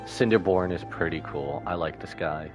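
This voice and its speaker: A man's voice: low-pitched, moderate pace, over music. A man giving casual, slangy live reaction commentary as a game streamer. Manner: slangy, informal.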